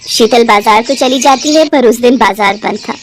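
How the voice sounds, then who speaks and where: A woman's voice: on the high side, moderate pace, a little hiss or hum. A woman narrating a scripted bedtime story as the storyteller.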